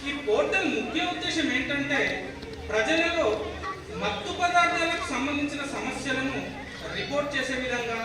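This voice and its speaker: A very high-pitched, chatty TV presenter acted by a man in a stage play. The delivery is emphatic and teasing, full of questions to the viewers. It sounds distant, in a very echoey, noisy hall. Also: moderate pace. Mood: bright, emphatic. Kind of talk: theatrical scripted presentation in a one-act play. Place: echoey hall, voice picked up from afar.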